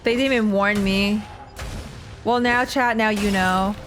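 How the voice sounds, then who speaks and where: A woman's voice: mid-range voice, fairly even intonation, a little slow, fairly bright tone. A woman streams a game in a casual, rambling voice. She thinks aloud in slangy asides as she talks to her chat. Faint music plays behind her. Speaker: streamer playing and reacting to a game.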